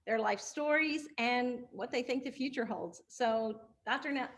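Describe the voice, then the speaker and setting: A woman's voice: a little high, a little slow, fairly bright tone. A woman hosting an interview series, asking questions in a chatty, friendly way. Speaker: interviewer host. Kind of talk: casual interview.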